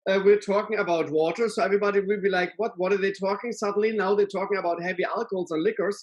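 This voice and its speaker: German-accented male co-host, forties, animated storyteller, home video call. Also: high voice, fast. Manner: animated storytelling with lively hand gestures. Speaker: podcast co-host. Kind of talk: casual chat. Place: living room with bookshelves and a painting.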